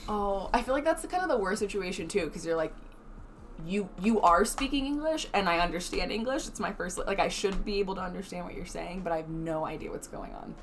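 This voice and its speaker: A woman's voice: medium pitch, expressive, a little fast, a little hiss or hum. A woman from Wisconsin co-hosting a livestream, chatty and giggly, with lots of likes. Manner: giggly, chatty, uses like a lot. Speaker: stream co-host. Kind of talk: casual chat.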